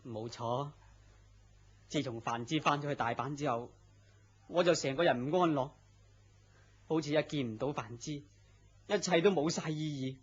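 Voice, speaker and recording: A man's voice: on the high side, normal speed, long pauses, slightly rough. A man with a Hong Kong Cantonese accent playing a weary, melancholy, lovesick young man in a radio drama, earnest and plaintive. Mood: weary, despondent. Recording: dull lo-fi radio drama audio.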